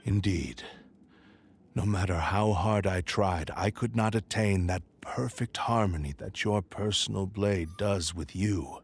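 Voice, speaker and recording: An actor playing a proud, despairing sword spirit in a man's form in a video game, speaking gravely in formal, old-fashioned words. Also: low-pitched, full character voice. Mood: grave, resigned. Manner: slow, grave, archaic formal speech.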